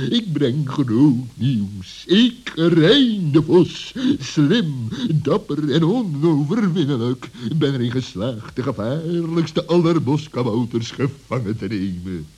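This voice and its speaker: A sly fox villain from an old children's radio play. He drawls in a husky voice that swings up and down, pompous and theatrical, full of scheming self-importance. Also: a little high, big pitch swings, slow pace, darkish tone, full character voice. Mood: boastful.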